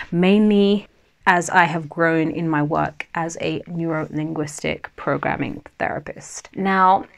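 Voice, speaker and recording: A woman hosting her own podcast in a free-flowing, unscripted chat, laughing often and pausing now and then with a slight stammer. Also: fairly low pitch. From Nigerian family, Yoruba heritage. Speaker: podcast host.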